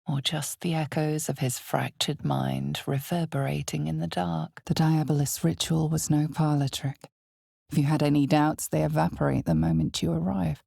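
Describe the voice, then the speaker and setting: An actress voicing an omniscient, solemn and ominous narrator in a fantasy video game, measured and theatrical, with weighty pauses. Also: female voice, mellow, no room sound, studio quality, full character voice. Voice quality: husky, breathy, low. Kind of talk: dramatic scripted second-person narration of a fantasy game.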